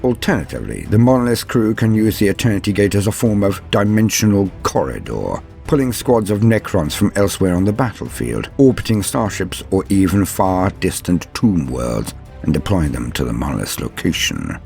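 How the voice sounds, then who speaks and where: A man hosting a lore guide, reading scripted narration in a theatrical, measured and formal manner. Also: low voice, big pitch swings, vocal fry, a little mellow, faint music behind. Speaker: host of a Warhammer lore guide.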